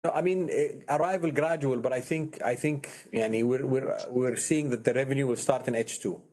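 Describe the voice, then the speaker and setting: A man's voice: medium pitch, steady tone, fairly quick, a little mellow. A man with an Arabic accent answering questions as a company executive on an earnings call, often repeating phrases for emphasis.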